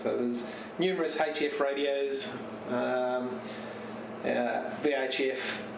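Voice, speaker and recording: A man giving a casual, unscripted show-and-tell as a radio hobbyist, speaking haltingly and searching for words. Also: mid-range voice, creaky. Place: home radio shack. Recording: roomy, distant mic with steady noise.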